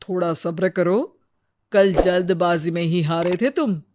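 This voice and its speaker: A woman voicing a patient but stern royal minister in a cartoon story, measured and instructive. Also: fairly low pitch, heavy background noise, natural voice.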